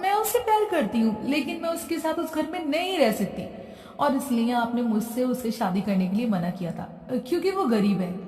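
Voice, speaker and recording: A woman's voice: on the high side, big pitch swings, moderate pace, a little mellow. A woman voicing a spoiled, whiny young bride in a cartoon story, speaking in a petulant, exasperated way. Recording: roomy echo, distant from mic.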